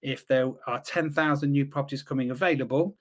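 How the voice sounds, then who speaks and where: Fast-talking upbeat male host. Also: medium pitch, steady tone. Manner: brisk, upbeat, thanks viewers and keeps things moving. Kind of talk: casual show hosting.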